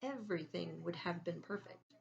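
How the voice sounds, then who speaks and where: A woman reading a children's story aloud in an expressive, deliberate teacherly way, pausing to put questions to her listeners. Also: on the low side, vocal fry, muffled recording.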